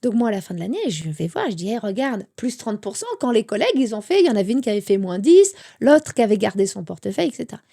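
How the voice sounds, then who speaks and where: A woman's voice: mid-range voice, quick pace, gravelly, hardly any pauses, breathy edge. A woman in her thirties, a guest telling a personal story candidly, acting out the lines of people she quotes. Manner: animated storytelling with quoted dialogue. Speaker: interview guest.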